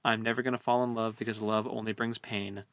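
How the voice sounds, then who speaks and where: A man co-hosting a casual book discussion, speaking in halting, informal phrases. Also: lowish voice, level tone, a bit bright, no room sound. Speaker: podcast co-host.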